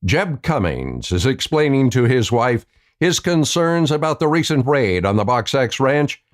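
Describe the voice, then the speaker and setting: A man's voice: mid-range voice, very animated, a little slow. A man reading scripted narration for a Western radio drama.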